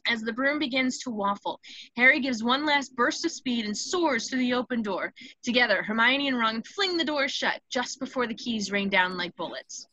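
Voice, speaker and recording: Woman narrating screenplay action, bright crisp edge, highish voice, dry sound. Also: animated, moderate pace, dry recording, dull audio. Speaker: narrator reading stage directions.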